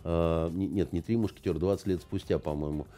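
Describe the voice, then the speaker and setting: Blunt man with a low, warm voice. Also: fairly even intonation, brisk, soft background music. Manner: blunt, curt interjections. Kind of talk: casual political chat.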